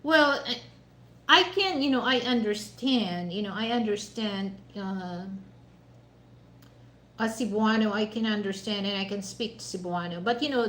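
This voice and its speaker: A woman's voice: medium pitch, normal speed, reverberant, a little hiss or hum. A woman from the Philippines with a Filipino accent, chatting casually on a livestream while eating dinner, rambling with many "you know" fillers. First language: Mandaya. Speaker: livestream host.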